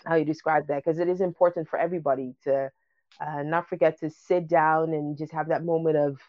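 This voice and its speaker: A woman's voice: low-pitched, steady tone, brisk, no echo, dull audio. A woman hosting a casual interview, encouraging and rambling, with many fillers and restarts. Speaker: interview host.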